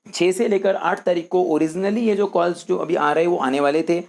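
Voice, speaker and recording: A man's voice: high voice, normal speed, creaky, a little mellow. A man hosting an explanatory tutorial, talking instructively to viewers as friends and repeating his points. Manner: repetitive, instructive, addresses listeners as friends. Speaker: host of a quiz-show guidance video.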